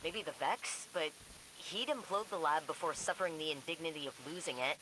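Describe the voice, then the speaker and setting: Actress as a wry, lower-voiced woman engineer. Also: brisk, noisy background, close to an everyday voice. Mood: focused, wry. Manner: casual, dry, sardonic. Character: driven, wry young woman engineer, guarded and candid. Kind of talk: naturalistic video game dialogue. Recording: loud music behind the voice.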